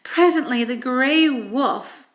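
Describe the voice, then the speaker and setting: Woman, storybook narrator, high-pitched voice, slow measured pace, expressive reading. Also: lo-fi sound. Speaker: audiobook reader.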